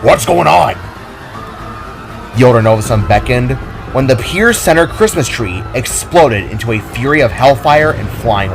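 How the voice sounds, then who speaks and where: A man narrating an action story as a dramatic reading, with expressive delivery. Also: mid-range voice, poor audio. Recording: music under the reading.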